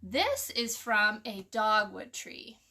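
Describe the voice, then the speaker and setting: Bright-voiced woman teaching children about nature. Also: mid-range voice, sing-song, takes it slowly. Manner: explanatory, addresses young listeners with rhetorical questions. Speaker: children's story-time host and teacher. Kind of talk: informal nature lesson for children, with read-aloud.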